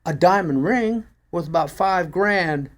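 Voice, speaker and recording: A man's voice: sits high, a little slow, pitched above the performer's own voice. A man voicing a portly, robbed pawn shop owner in a story reading, agitated and complaining. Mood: rueful, annoyed. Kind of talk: acted dialogue in a story reading.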